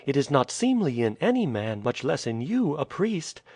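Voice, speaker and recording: Storybook girl, young and fearful, high and pleading; a man reads her. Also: sing-song, moderate pace, a little mellow, breathy edge, no echo, clean studio sound. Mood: bewildered. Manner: pleading, emotional. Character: young noble girl, frightened and tender. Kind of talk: audiobook reading.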